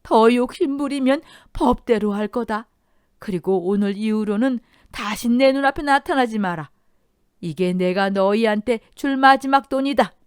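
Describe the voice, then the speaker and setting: A woman's voice: fairly high pitch, normal speed, clean tone, dry recording, clean studio sound, pitched above the performer's own voice, natural voice. Woman voicing an elderly mother-in-law, trembling. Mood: furious. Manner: trembling, breathless voice. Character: grieving elderly mother-in-law, worn yet fierce. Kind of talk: audio drama storytelling.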